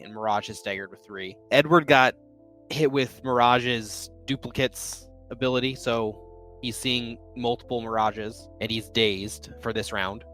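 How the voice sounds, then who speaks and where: A man's voice: medium pitch, fairly bright tone. Man running a tabletop game. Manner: methodical, laid-back recapping. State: recovering from illness, deeper voice than usual. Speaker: game master. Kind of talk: casual tabletop game narration.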